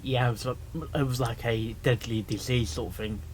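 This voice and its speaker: A man giving casual live reaction commentary, rambling and repeating himself in a halting way. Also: medium pitch, steady tone, a little hiss or hum. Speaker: reaction video host.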